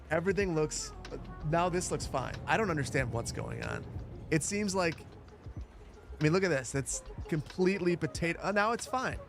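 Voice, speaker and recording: A man's voice: fairly high pitch, expressive, moderate pace, music in the background. A man live-streaming a city-building game, reading chat and joking about the bad graphics, chuckling often and stumbling over fillers and restarts.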